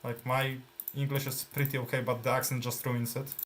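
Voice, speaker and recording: A man's voice: medium pitch, flat delivery, brisk, fairly bright tone, slight background noise. Man with a Polish accent, casual. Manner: thinking aloud, many fillers and trailing phrases. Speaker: gameplay streamer. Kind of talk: casual live gameplay commentary.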